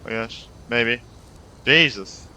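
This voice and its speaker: A man's voice: mid-range voice, slow, a little hiss or hum. A man giving casual, reactive live game commentary as a streamer, with some swearing. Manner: animated, profane, reactive. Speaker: gaming streamer.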